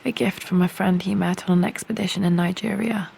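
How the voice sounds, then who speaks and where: An actress playing a young English adventurer in a video game, softly musing to herself about her surroundings in a reflective way. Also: fairly low pitch, steady tone, fairly quick, creaky, slight background noise. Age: young adult. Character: young female adventurer, thoughtful, nostalgic about her family.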